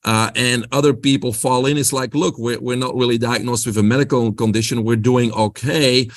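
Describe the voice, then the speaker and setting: A man's voice: mid-range voice, steady tone, normal speed. A man with a Belgian accent, a guest on a podcast interview, explaining his health coaching with an upbeat motivational-speaker delivery.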